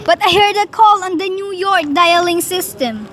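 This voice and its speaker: A woman's voice: very high voice, normal speed, fairly bright tone, a little hiss or hum. A woman playing an anxious, demanding bedridden wife in a radio play, fretful, pleading and imperious. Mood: urgent, pleading. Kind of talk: radio play dramatic acting.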